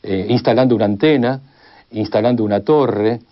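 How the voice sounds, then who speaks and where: A man's voice: medium pitch, moderate pace. An Argentine man giving an unscripted explanation as an expert being interviewed about history. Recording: slightly dull audio.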